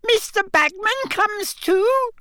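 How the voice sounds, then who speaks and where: Man voicing a squeaky, distraught little female house elf. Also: expressive, takes it slowly, pushed and bright, no echo, studio quality, exaggerated voice. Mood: angry, indignant. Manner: broken grammar, wailing protests.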